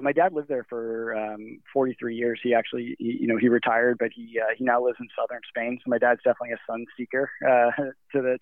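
A man's voice: medium pitch, vocal fry, dry recording. A man being interviewed over a remote call, talking casually in a rambling way with many fillers and restarts. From Nigeria. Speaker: guest. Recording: tinny phone-like call line.

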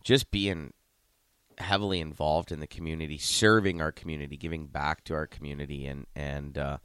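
A man's voice: a bass voice, fairly even intonation, brisk, fairly bright tone, clear, resonant tone, no room sound, studio quality. A middle-aged man from Ohio, a head coach co-hosting a radio show, chatting casually and rambling with many restarts.

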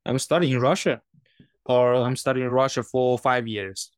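A young man from China with Chinese as his first language, answering interview questions haltingly, often restarting words. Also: medium pitch, steady tone, smooth, dry recording, clean studio sound. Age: 20s. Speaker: guest.

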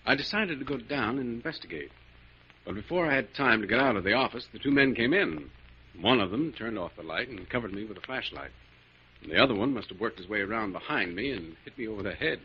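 A muffled old radio recording with some noise. An actor plays an earnest, good-natured man with a low voice, recounting things plainly in 1940s drama style. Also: animated, fast, natural voice.

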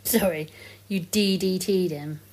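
Woman playing unimpressed wife in zombie comedy, slow dry teasing, mellow voice. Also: on the low side. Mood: incredulous, teasing. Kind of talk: audio comedy drama acting.

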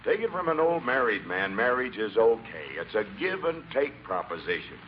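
Jovial, corny police chief, played by a male actor, medium pitch, 1940s radio comedy, slightly noisy. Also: very animated, normal speed, natural voice. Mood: joking. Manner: hearty, jokey. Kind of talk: 1940s radio sitcom acting. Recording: dull, faintly noisy 1940s radio recording.

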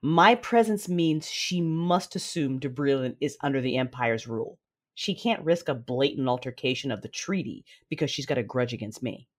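A woman playing a conflicted, commanding Sith noblewoman in an audio drama, measured and controlled, with dramatic delivery. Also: extremely low pitch, lively intonation. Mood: firm, commanding. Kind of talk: first-person audio drama narration and dialogue. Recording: music behind the voice.

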